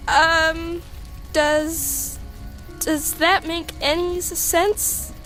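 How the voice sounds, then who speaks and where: A woman's voice: flat delivery, very slow, pushed and bright, heavily acted. A woman voicing a nervous, geeky female monster scientist in a comic dub, stammering as she explains earnestly. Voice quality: stammering, high-pitched. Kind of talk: animated comic dub acting. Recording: music behind the voice.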